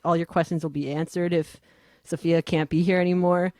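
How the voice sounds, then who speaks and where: A woman moderating a casual online panel, speaking informally and haltingly as the host. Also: lowish voice, flat delivery, darkish tone, dry recording.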